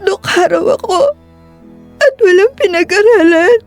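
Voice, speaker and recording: Woman playing heartbroken young daughter, very high voice, slow, tearful, pleading, audio drama, soft music behind. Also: expressive, heavily acted. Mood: hurt, tearful. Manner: pleading, plaintive. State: crying.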